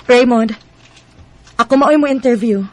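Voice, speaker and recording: A high-voiced wife in a radio drama. She speaks with open emotion, loving yet quick to show hurt and sharpness. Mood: curt, hurt. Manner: expressive, emotional delivery.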